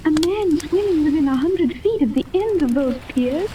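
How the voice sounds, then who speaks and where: A woman's voice: sits high, animated, moderate pace, warm tone. A woman playing an anxious, tender-hearted captive heroine in a 1930s radio serial, speaking with earnest, theatrical worry. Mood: distressed. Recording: noisy vintage 1930s radio recording.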